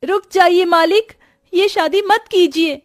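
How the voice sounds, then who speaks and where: A woman plays a poor young servant woman in a moral-story cartoon. Her voice sits high, anxious and deferential, pleading with earnest loyalty. The recording is dry, with music underneath. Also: a little slow, no echo. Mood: urgent pleading.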